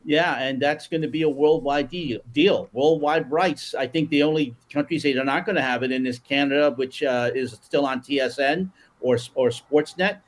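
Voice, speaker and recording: A man's voice: mid-range voice, moderate pace, hardly any pauses. A man appearing as a guest on a sports podcast, talking eagerly with frequent stumbles and restarts. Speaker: guest commentator.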